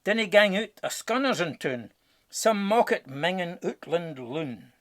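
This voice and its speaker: A man with a Scottish accent narrating a rhyming storybook in Scots with a theatrical, expressive delivery. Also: high voice, unhurried. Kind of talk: dramatic rhyming verse storytelling.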